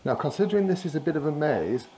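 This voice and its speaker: A man talks informally to camera as he walks through indoor corridors. His delivery is chatty and casual, a running commentary with an easy readiness to chuckle. Clear background noise sits behind his voice. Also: a little high, big pitch swings, fast talker, mellow. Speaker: vlogger testing walkie-talkies. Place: corridors inside a residential building.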